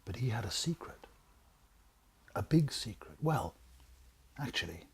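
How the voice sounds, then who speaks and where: A man reading a children's storybook aloud in a theatrical, suspenseful way, with a husky voice that drops into breathy whispers. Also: on the low side, big pitch swings, a little slow. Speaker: author reading own book.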